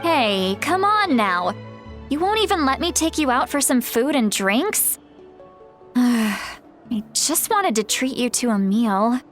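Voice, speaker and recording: A woman's voice: fairly high pitch, big pitch swings, fairly bright tone, full character voice. A woman voicing an ancient, self-mocking sorceress in a video game who still sounds like a young woman. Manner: unhurried, teasing, self-mocking. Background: game music.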